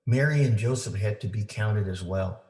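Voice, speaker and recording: A man reading a children's story aloud for families, with deliberate, simple phrasing for young listeners. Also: fairly low pitch, a little mellow. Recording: roomy, echoing indoor sound.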